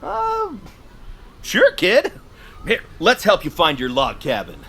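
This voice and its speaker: Goofy, swooping camp counselor dude. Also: male voice, sits high, wildly swooping pitch, slow, gravelly, fairly bright tone, slight background noise, full character voice. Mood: easygoing. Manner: slangy, easygoing, hesitant with ums. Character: goofy, friendly young male summer camp counselor, laid-back dude. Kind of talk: audio drama acting.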